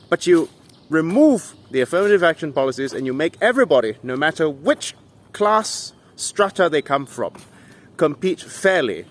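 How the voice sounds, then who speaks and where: A man delivering an argumentative monologue to viewers in an emphatic, deliberate way, with rhetorical questions. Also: mid-range voice. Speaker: video essayist and English tutor.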